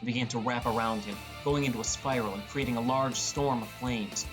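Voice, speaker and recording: A man's voice: mid-range voice, fairly even intonation, a little fast, over music. A man reading a scripted first-person story as an audiobook narrator.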